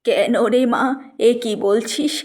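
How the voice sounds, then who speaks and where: A woman puts on an older cartoon mother. Her voice sits on the high side, fond and plaintive, full of worry for her children. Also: slow, darkish tone. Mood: worried.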